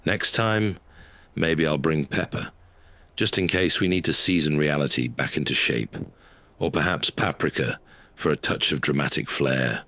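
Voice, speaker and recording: A man voicing an ancient magical dog with dry wit and a droll, unhurried manner in an audio drama. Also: rumbling low, pushed and bright, dry recording, full character voice. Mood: playful, dry. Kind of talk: scripted audio drama acting.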